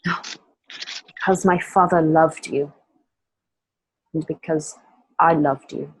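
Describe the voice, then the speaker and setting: A woman plays an anxious princess of ancient Rome for an amateur radio drama. Her voice sits lowish with a rough, breathy grain, and she speaks with careful, weary tenderness. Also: fairly even intonation, slow, heavily acted, rough recording. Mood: weary, tender.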